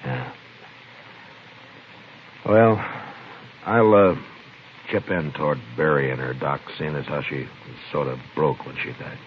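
An actor playing a weary, steady, laconic Old West marshal in a 1950s radio western. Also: male voice, very deep voice, slow pace, long pauses. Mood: grave, businesslike. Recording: muffled, noisy old-time radio recording.

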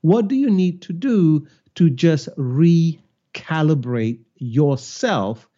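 A man's voice: on the high side, animated, slow, mellow, dull audio. A man who grew up in Malaysia, hosting a solo podcast and teaching with reflective, rhetorical questions. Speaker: cultural intelligence educator, podcast host.

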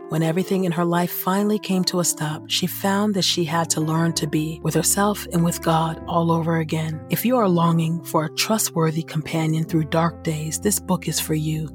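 A woman with a husky voice hosting a devotional podcast, speaking in an earnest religious style. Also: fairly low pitch. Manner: fervent, repeats phrases for emphasis. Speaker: podcast host leading prayer and scripture reading. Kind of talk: devotional prayer and podcast narration.

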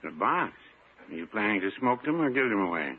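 An actor playing a mild, dry-witted pharmacist, deadpan and unhurried, in a 1950s radio comedy. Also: male voice, fairly low pitch, husky. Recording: noisy, muffled 1950s radio recording.

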